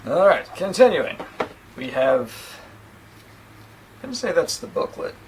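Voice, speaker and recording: A man's voice: on the high side, sing-song, unhurried, slight background noise, rough recording. A man in his thirties with a New Zealand accent, chatting casually and rambling a little as he unboxes a gadget, recorded by a camera mic a few feet away in a small room. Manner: chatty, rambling, self-deprecating asides. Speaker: tech reviewer. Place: small indoor room against a plain sheet backdrop.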